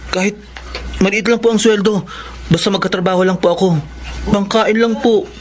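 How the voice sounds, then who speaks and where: A man playing a humble, pleading twelve-year-old poor boy in a Filipino radio drama, polite and halting. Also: high-pitched, steady tone, crowd noise, natural voice.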